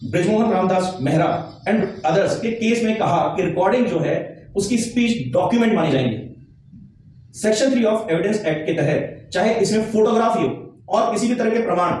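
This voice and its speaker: A man's voice: sits high, a little mellow. A man giving an explanatory legal lesson, emphatic and repeating his key points. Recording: very echoey, distant mic, faint noise.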